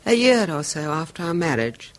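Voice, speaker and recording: An actress playing the anguished wife of a tormented violinist in a 1970s radio drama, speaking in an intense, confiding way that drops to hushed whispers. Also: female voice, low-pitched, sing-song, normal speed. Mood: haunted.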